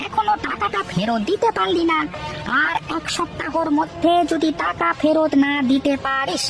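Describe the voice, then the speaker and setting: A woman doing a domineering male estate manager in a cartoon who hands out threats. The voice is high and swoops around a lot, with music behind it. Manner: domineering and threatening, delivers warnings. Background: background music.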